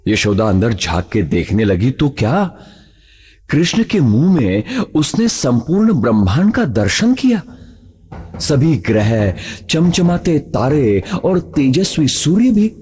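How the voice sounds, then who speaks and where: Slow, animated male storyteller. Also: medium pitch, slightly rough. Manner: expressive, dramatic. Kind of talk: scripted children's story narration. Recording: music behind the voice.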